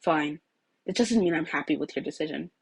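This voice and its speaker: A woman's voice: sits low, brisk, creaky, husky, heavily acted. A woman voicing a reserved, protective, disapproving teenage boy in a story, with a restrained manner. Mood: reluctant.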